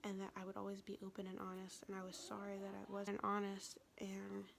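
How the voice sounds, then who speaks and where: A young woman telling a personal story in a soft, confessional whisper, vlog style. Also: mid-range voice, completely flat delivery, a little fast, gravelly, a little hiss or hum.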